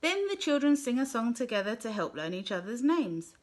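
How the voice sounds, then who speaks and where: A woman with a slightly high voice reads a children's picture book aloud. She tells the story gently and clearly, at an easy pace for young listeners. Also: sing-song, a little fast, a little hiss or hum. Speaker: storybook reader.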